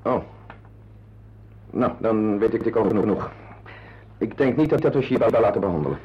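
A gloomy, soft-hearted pet owner in a radio drama. His voice sits low and rough-edged, with a brooding, hesitant delivery. The recording is muffled and lo-fi. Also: lively intonation, moderate pace. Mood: hesitant, polite. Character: melancholy, protective male pet owner, narrating his thoughts. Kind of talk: radio drama acting, introspective narration and dialogue. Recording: dull lo-fi radio play recording.

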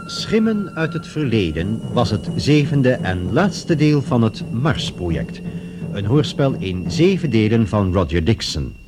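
A man announcing radio drama credits in a formal, measured style. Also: mid-range voice, sing-song, music in the background.

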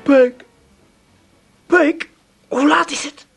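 High-pitched nagging Amsterdam woman. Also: extremely slow, faint music behind, heavily acted. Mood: impatient nagging. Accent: Amsterdam Dutch. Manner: impatient, scolding. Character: nagging, fretful working-class Amsterdam woman rousing her household. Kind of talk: comic radio play acting.

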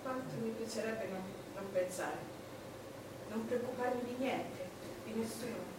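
An actress playing a proud, wounded queen of England in a dramatic, deliberate stage monologue. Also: a little high, very noisy, natural voice. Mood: weary, longing. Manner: dramatic, deliberate, broken into short weighted phrases. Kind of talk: theatrical stage monologue in Italian. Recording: distant, very echoey, music behind.

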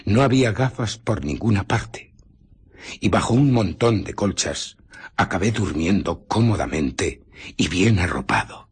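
Agitated invisible fugitive, Castilian Spanish accent, radio drama, low register, very animated, theatrical, hushed to anguished. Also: male voice, a little slow, breathy edge, exaggerated voice. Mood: hushed, wary. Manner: theatrical, swinging from hushed murmurs to anguished outcries. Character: invisible man, scientist, agitated fugitive recounting his ordeal.

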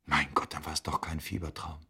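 An actor playing a serious, courteous scholarly doctor in a German radio drama. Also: male voice, rumbling low, monotone, a little fast, background noise. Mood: stunned, quiet.

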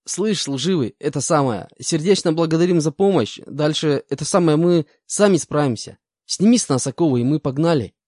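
A man's voice: a little high, expressive, brisk, darkish tone, no echo, clean studio sound, pitched above the performer's own voice. A man voicing an insolent grey-haired male convict with gold teeth, talking in brash slang. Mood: cocky. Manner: brash street slang, cocky.

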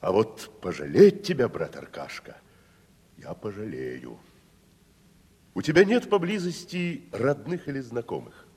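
A proud old-school tragedian in a 1970s radio-style stage play. His voice is low and husky, with swooping, pompous delivery. He sounds lordly and patronizing, and the sound rings with long reverb. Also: big pitch swings, measured pace, a little mellow, exaggerated voice. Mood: proud, condescending. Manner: theatrical, pompous, haughty. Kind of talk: 1970s audio stage drama acting. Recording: reverberant hall-like studio recording.

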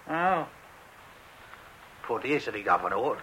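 A man's voice: mid-range voice, sing-song, unhurried, sharp tone, low-quality recording. Blunt, grumbling binman. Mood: incredulous. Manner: blunt, colloquial, insistent. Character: stubborn, rule-bound working-class refuse collector, grumbling. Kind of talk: radio play acting.

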